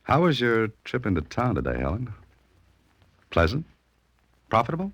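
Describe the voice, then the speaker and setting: A man's voice: medium pitch, wildly swooping pitch, moderate pace, fairly bright tone, no echo, heavily acted. A man playing a cool, wry novelist turned amateur sleuth in a 1940s radio drama, with a dry, teasing, understated manner. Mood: casual probing. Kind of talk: 1940s radio drama acting and first-person narration. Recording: vintage radio recording with music.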